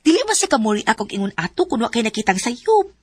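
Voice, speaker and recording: A woman's voice: fairly high pitch, very animated, fast, breathy edge, dry recording. A woman acting the lead's frank, teasing friend in a radio drama, giving blunt advice. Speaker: supporting character, the lead's friend.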